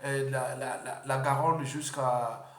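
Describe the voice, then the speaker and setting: A man from the Republic of the Congo giving an unscripted political address, halting often and repeating words. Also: medium pitch, fairly even intonation, roomy sound. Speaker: political speaker.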